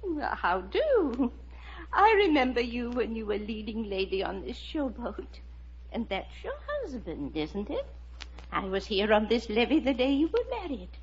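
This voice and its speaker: An actress playing a friendly, folksy, chatty riverside townswoman in a 1950s radio drama, with a husky voice. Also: on the high side, very animated, unhurried, heavily acted. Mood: friendly, nosy. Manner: folksy, neighbourly. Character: friendly, chatty old-time levee townswoman. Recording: muffled vintage 1950 radio recording.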